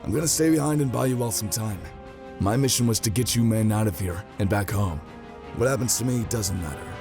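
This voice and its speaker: An actor playing a grim, self-sacrificing veteran commander in an audio drama, speaking firmly and with resignation. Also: male voice, fairly low pitch, brisk, music in the background, lightly acted. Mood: grim resolve.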